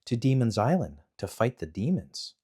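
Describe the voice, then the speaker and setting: A man's voice: mid-range voice, very animated, normal speed, a little mellow, breathy edge, voice pushed up. A man voicing a brave young hero boy in a storybook, answering plainly and firmly. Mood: steady. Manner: plain, firm replies.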